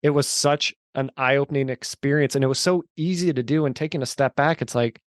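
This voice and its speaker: A man just turned forty, speaking at a middle pitch in an earnest, emphatic storytelling manner. His voice is recorded close and dry, with no room sound. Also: a little fast, dry recording. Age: 40s. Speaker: guest. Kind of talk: casual interview chat.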